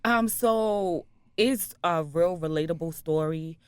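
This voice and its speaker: A woman's voice: on the low side, slow, smooth, no room sound. A woman interview guest, a writer and director, explaining a story in a studio interview.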